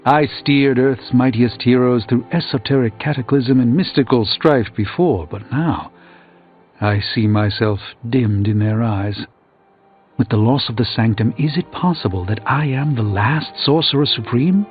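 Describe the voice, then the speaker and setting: Man acting weary proud sorcerer, middle-aged, measured, erudite, dryly wry, video game dialogue, dulled audio, music behind. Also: medium pitch, lo-fi sound, natural voice. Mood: troubled, reflective.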